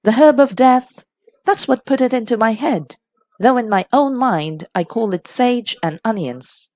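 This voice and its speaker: A woman's voice: a little high, a little slow, darkish tone, dry recording, pitched above the performer's own voice, close to an everyday voice. A woman voicing a stubborn, flustered upper-class hostess in a mystery audiobook, protesting plaintively. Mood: reluctant, plaintive. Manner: indignant, obstinate protesting.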